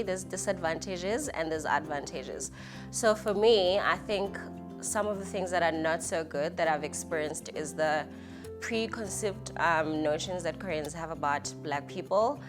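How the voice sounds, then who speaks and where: A South African woman with a South African accent, speaking candidly as an interviewee. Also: lowish voice. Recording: music behind the voice.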